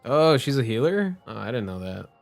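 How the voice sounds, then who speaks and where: A man's voice: medium pitch, very animated, normal speed, gravelly. A man livestreaming a game, chatting casually in an informal, hesitant, rambling way with game music behind him. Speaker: game streamer.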